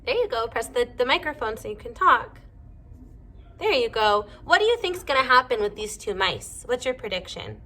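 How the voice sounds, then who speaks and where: A woman teacher reading a storybook aloud to young children, pausing to ask them simple questions. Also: a little high, very animated, bright, forceful tone.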